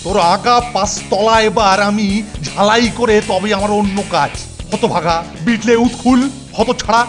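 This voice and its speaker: Cartoon hostel superintendent, male actor: high voice, short-tempered, blustering scold, light music underneath. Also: normal speed, natural voice. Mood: furious, threatening. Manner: scolding, blustery, repeats himself. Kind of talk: cartoon voice acting.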